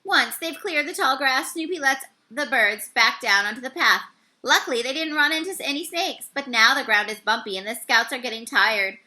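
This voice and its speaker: A woman reading a children's story aloud, with expressive and carefully phrased narration. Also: high voice, normal speed. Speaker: storybook reader.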